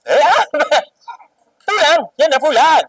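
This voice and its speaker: A man's voice: very high voice, very animated, unhurried, fairly bright tone, no room sound, distant mic, voice pushed up, exaggerated voice. A man voicing a kindly, grateful, easily flustered male religious teacher who exclaims and repeats himself in a children's story.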